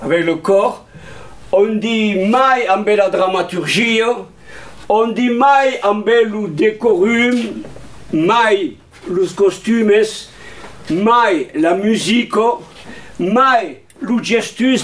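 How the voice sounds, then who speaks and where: A man with a sunny Southern French accent holds forth on theatre, his voice high and bright in pitch. He talks animatedly and theatrically, often swelling into booming declamation. The sound carries the echo of a reverberant room. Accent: Southern French, Montpellier. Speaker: theatre teacher. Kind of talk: lively talk on theatre to a group.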